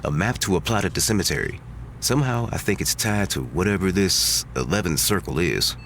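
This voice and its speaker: A man's voice: sits low, animated, fairly quick, fairly bright tone, a little hiss or hum. A man playing an earnest, casual agent in an audio drama, informal and a little wry. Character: earnest, casual male agent following a hunch.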